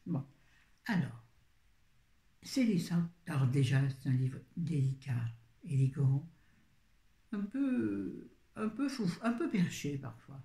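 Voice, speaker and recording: Chatty rambling woman, mellow and roomy. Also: sits low, animated, takes it slowly, vocal fry, pauses often. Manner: rambling, chatty, self-interrupting. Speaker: book vlogger. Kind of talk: casual book review chat. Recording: roomy echo.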